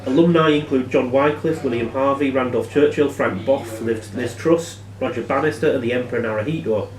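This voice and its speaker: A man hosting a quiz as quizmaster, reading questions aloud in a plain, matter-of-fact way and giving brisk rulings. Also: medium pitch. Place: live in a pub. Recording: echoey room, distant microphone.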